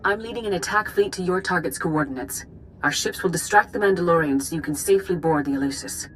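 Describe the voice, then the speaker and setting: An actress playing an authoritative Jedi Master commander in a video game, calm, formal and measured. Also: female voice, fairly low pitch, a little hiss or hum, close to an everyday voice, poor audio. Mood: grave, resolute.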